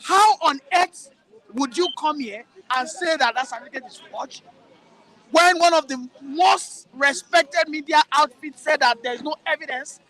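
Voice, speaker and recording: A man's voice: very high-pitched, a little slow, bright, forceful tone, low-quality recording. A man with a Nigerian accent, arguing politics in a heated, mocking way and repeating his points. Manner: combative, mocking, repeats himself.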